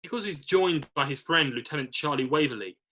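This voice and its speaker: A man's voice: a little high, a little fast, pushed and bright, a little hiss or hum, poor audio. A man narrating, reading screenplay stage directions aloud in an amateur read-through.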